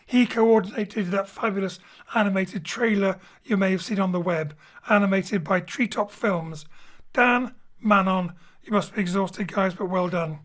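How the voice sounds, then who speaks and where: A man's voice: high-pitched, steady tone, normal speed, a bit bright. Upbeat man signing off. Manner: upbeat, grateful, thanks the cast. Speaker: producer closing the show. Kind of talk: live stream sign-off announcements. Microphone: home studio mic.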